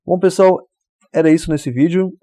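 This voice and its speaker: A man explains code in a relaxed, mellow voice. He walks through each step and keeps checking in with the listener. The sound is dry and close, with no room around it. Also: a little high, normal speed, no echo. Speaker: programming tutor. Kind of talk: screen-recorded coding tutorial.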